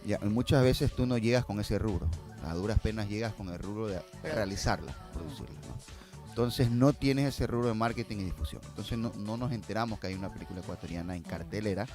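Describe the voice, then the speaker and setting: An Ecuadorian man speaks in a fairly low voice as a guest in an interview. His way of talking is informal and slangy, the talk of a filmmaker in conversation. Music is clearly audible behind him. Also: a little fast.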